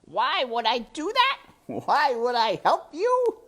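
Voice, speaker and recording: Villain rat played by a woman, sly, silky, mocking, very high, wildly swooping. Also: wildly swooping pitch, a bit bright, heavily acted. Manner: silky, mocking, drawn-out and theatrical.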